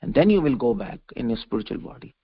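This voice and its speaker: Man, scripture lecture, fry-edged voice, emphatic, repetitive, stop-start phrasing, lo-fi conference call. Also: medium pitch, big pitch swings. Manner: emphatic, repetitive, halting phrases with restated words. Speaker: preacher giving a scripture lecture. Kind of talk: religious discourse, explaining a verse. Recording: dull conference-call audio.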